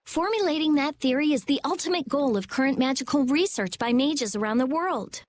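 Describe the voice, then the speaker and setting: A woman's voice: high-pitched, a little fast, close to an everyday voice. A woman playing a brainy, intense, stubborn teenage girl mage in an anime-style video game. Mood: intent.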